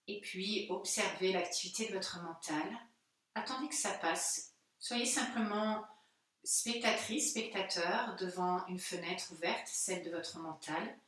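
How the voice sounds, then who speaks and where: A woman, a yoga teacher giving calm, unhurried and soothing guided relaxation instructions. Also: on the low side. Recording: very echoey room, distant microphone.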